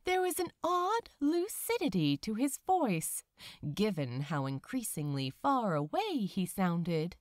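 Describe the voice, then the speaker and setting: A woman reads fiction aloud in a theatrical, emotive manner. Her voice sits fairly high and moves freely up and down, and she takes her time in a very dry recording. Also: no echo. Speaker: narrator of fan fiction audiobook. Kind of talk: dramatic scripted story narration.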